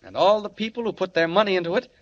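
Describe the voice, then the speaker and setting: A man's voice: high-pitched, very fast, lightly acted. A man acting a mild, eager newspaper reporter in a 1940s radio serial. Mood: excited. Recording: dull old 1940s radio recording.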